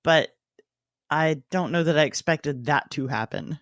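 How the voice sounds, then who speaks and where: A woman's voice: sits low, unhurried, no echo, clean studio sound. A woman co-hosting a book podcast, talking casually and with a playful, jokey manner. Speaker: podcast co-host. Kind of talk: casual book-club discussion.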